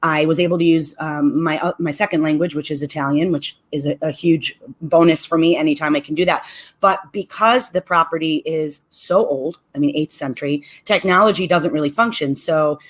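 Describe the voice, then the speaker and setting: A middle-aged woman, a restaurant owner and event planner, answering radio interview questions in an articulate, measured way. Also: low-pitched.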